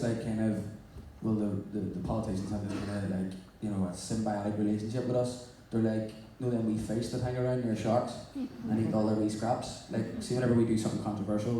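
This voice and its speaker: A man's voice: on the low side, dark tone, slight background noise. A man with a Northern Irish accent talking wryly and sardonically as a guest on a live onstage panel. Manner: wry, sardonic, chatty. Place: large indoor venue before an audience. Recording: echoey hall from far off.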